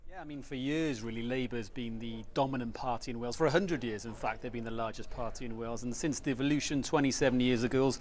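A man reporting live as a political correspondent, explaining the polls in a measured way. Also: mid-range voice, a little mellow.

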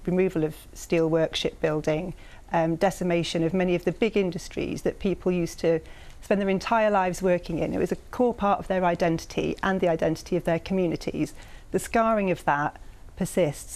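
A woman with a slightly low voice argues her point on a political panel. She is from North East England and speaks earnestly, stringing long points together without letting go of the thread. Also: a little mellow. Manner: earnest, builds long persuasive arguments. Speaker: political commentator. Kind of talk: panel debate.

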